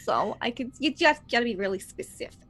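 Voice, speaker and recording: A woman's voice: fairly high pitch, animated, quick pace, fairly bright tone, a little hiss or hum. A woman playing a tabletop roleplaying game, chatting casually and jokingly.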